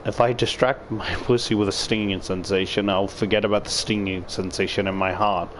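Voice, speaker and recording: A man's voice: on the low side, steady tone, a little fast, a bit bright, a little hiss or hum. A man reading a visual novel aloud as a gaming YouTuber, with casual, profane commentary and exclamations.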